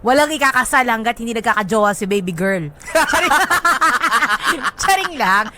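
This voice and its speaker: A woman's voice: fairly high pitch, animated, a little slow, heavy background noise. A woman hosting a radio advice segment in casual, playful banter, joking and switching between languages. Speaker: radio show host giving advice.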